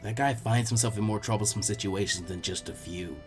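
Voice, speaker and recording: Casting: slacker ninja lad, read by a man, dropped pitch, unbothered, weary and drawling. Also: fairly quick, soft background music, lightly acted. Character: lazy, unbothered young ninja boy. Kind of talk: fan fiction character reading.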